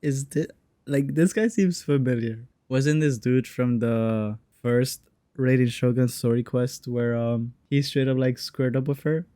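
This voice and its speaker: A man comments casually on a game he is playing. His voice is dark and relaxed, recorded close and dry, with informal, slangy phrasing. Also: mid-range voice, measured pace, dry recording. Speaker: gamer commenting on playthrough.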